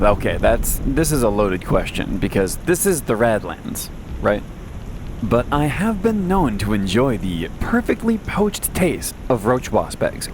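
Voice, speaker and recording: A man playing a wry, anxious post-apocalyptic radio host in an audio drama, rambling casually with deadpan, self-deprecating humor. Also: fairly low pitch, background noise. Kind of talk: conversational audio drama monologue, answering listener messages.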